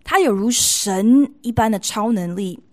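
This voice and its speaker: Female podcast host, Taiwanese Mandarin accent, medium pitch, chatty storyteller with playful emphasis. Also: measured pace. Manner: expressive, playful emphasis with colloquial sentence-ending particles. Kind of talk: chatty storytelling monologue to listeners.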